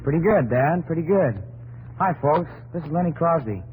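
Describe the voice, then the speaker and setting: A man's voice: a little high, animated, normal speed, in a large hall, phone-quality sound, soft background music, lightly acted. A man playing an eager, agreeable young son in a 1950s radio drama.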